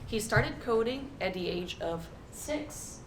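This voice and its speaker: A woman's voice: animated, slow pace. Woman introducing a speaker in an echoey hall. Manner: teasing, playful. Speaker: event host introducing the speaker. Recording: echoey hall, far from mic, rumbling noise.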